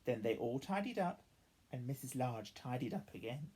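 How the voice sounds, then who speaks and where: Low-voiced, husky woman reading a bedtime story. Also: normal speed. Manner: animated, acting out each character's dialogue. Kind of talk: expressive children's storybook reading.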